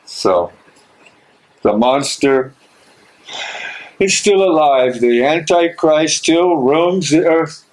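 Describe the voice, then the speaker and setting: A man's voice: a little high, extremely slow, pauses often, rough recording. A man acting a troubled but resolute imprisoned theologian in an amateur stage reading, anguished, brooding and hesitant. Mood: grim.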